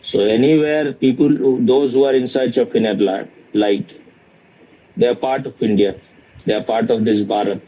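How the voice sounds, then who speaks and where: A man giving an informal spiritual question-and-answer talk as a teacher, speaking in a halting, repetitive and bluntly opinionated way. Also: mid-range voice, fairly even intonation. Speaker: spiritual teacher in dialogue with a student. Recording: dull, lo-fi sound.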